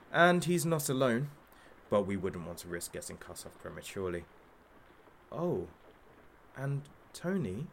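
A gleefully cruel masked showman hosts his captive talk show in an audio drama. His mid-pitched voice swings widely with sarcasm and theatrical flourish, over faint noise and ambience. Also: gravelly.

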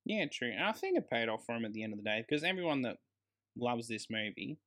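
A man's voice: mid-range voice, very animated, quick pace, a bit bright. Enthusiastic Australian man chatting casually. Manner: enthusiastic, given to emphatic grand claims. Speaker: podcast co-host. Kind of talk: casual film discussion.

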